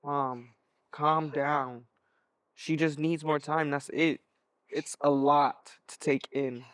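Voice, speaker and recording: A man playing a calm young adult son in a stage play, casual and reassuring. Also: a little high, slow, clean tone, dry recording.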